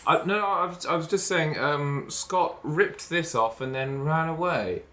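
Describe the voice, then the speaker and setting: A man's voice: a little high, unhurried, a bit bright. A man improvising casually as a tabletop game player, hesitant and self-deprecating.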